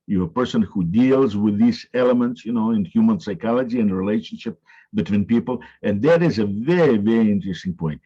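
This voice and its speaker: A man hosting an interview, speaking in a measured, lecturing way with frequent hesitations. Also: mid-range voice, a little mellow, husky. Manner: measured, lecturing with many uh fillers. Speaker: interviewer host. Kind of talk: interview conversation. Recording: dull, lo-fi sound.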